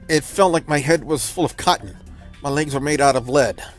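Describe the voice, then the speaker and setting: A man's voice: on the high side, normal speed. A man hosting a let's play, reading visual novel narration aloud in a dramatic storytelling style. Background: music.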